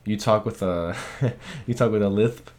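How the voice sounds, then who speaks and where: A man's voice: on the low side, normal speed, darkish tone. A young man in a casual podcast chat, bluntly ribbing in slang.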